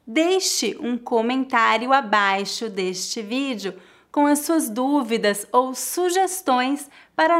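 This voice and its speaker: A Brazilian woman teaching pronunciation on her channel, with a high voice. She speaks very slowly and articulates every word carefully so learners can repeat after her. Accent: Brazilian Portuguese. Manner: clear, deliberate enunciation for learners. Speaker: Portuguese language teacher. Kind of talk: slow pronunciation lesson.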